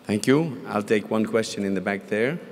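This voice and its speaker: A male moderator with a lowish voice keeps things moving with short, polite prompts. He speaks from a podium in a large hall, with long reverb trailing his words. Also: moderate pace. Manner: brief, polite, businesslike. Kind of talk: moderating questions. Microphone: podium mic.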